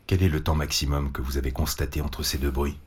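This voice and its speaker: A methodical doctor in an audio drama, with an extremely low, very raspy voice. He is measured and patient, insisting gently as he soothes and questions. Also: flat delivery. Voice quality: very deep, husky. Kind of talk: naturalistic French audio drama acting.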